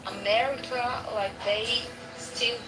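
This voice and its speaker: A woman whose first language is Turkish pushes her point in halting English, stumbling over fillers and swearing when frustrated. Her voice sits at an ordinary pitch and sounds off-mic, with a clear wash of noise around her. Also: steady tone. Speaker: participant in an audio chat room. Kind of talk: heated argument. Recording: distant with clear background noise.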